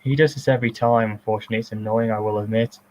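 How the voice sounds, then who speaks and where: A man's voice: fairly even intonation, very fast, a little mellow. A man in his thirties giving casual commentary on his own film over an online call, rambling and talking about his distinctive voice. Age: 30s. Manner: rambling, self-described distinctive high voice.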